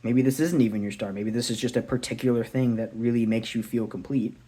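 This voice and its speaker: Male tarot reader, mid-pitched voice, slightly sore throat, thinks aloud, reflective delivery. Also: steady tone, fast, mellow, slightly rough. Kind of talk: casual tarot reading to camera.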